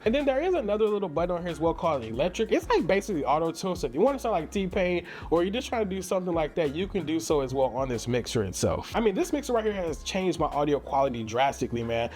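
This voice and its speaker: Fast-talking American man, casual gear reviewer. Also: fairly high pitch, a little mellow. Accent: American, St. Louis. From St. Louis, Missouri, United States. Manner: slangy, enthusiastic, conversational. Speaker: tech reviewer and content creator. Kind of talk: casual upbeat product review to viewers.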